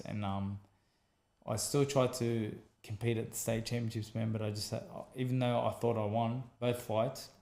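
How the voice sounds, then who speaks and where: An Australian man with a gravelly, mellow voice telling a long personal story as a podcast guest. He talks casually in a flat, even tone, repeats phrases and acts out the people he quotes. The room sounds echoey. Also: on the low side. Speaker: guest, former boxer. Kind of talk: conversational storytelling.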